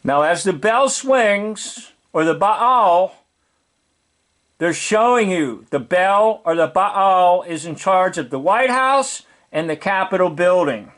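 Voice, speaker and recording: A man with a noticeably high voice delivers informal commentary at a slow pace. He explains things in a preachy, emphatic way, circling back to repeat key words. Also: expressive, fairly bright tone, soft background music. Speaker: video commentator.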